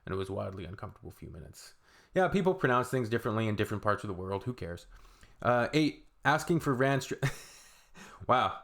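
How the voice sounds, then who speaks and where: A man's voice: fairly low pitch, very animated, fairly quick, vocal fry, a bit bright. A man, hosting a reaction video in a loose, rambling way with shrugging asides. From Canada. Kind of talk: casual reaction commentary.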